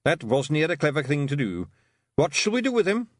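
A man with a lively delivery voicing a boisterous, bossy little male pixie leader with a Scottish accent. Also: on the high side, moderate pace, no room sound, clean studio sound, pitched above the performer's own voice. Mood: blustering. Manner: brash, loud, bossy.

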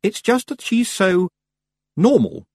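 A man's voice: sits high, big pitch swings, slow, darkish tone, slightly rough, no room sound, clean studio sound, voice pushed up, exaggerated voice. A man voicing a prim, proper, fussy and anxious woman witch in an audiobook. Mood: worried.

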